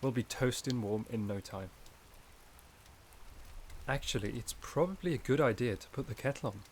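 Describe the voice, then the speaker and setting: A man playing a gentle, shy, caring forest companion in a soft-spoken ASMR roleplay, with a hesitant, slightly stammering delivery. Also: mid-range voice, normal speed, slight background noise, lightly acted. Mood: gently attentive.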